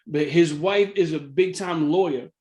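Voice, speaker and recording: Higher-voiced man telling a casual story. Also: measured pace, a little mellow. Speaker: real estate investor sharing his story.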